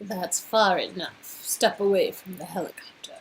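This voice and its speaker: A woman's voice: on the low side, moderate pace, slight background noise, close to an everyday voice, rough recording. A woman voicing a scornful, threatening female villain in a game read-along, with contemptuous delivery. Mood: menacing.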